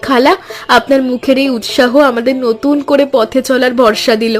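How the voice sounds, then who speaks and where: A high-pitched, earnest village housewife, played by a woman in an animated story. She sounds hardworking, hopeful and sisterly, with music playing behind her. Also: normal speed, lightly acted. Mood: respectful, hopeful. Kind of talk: scripted animated story dialogue.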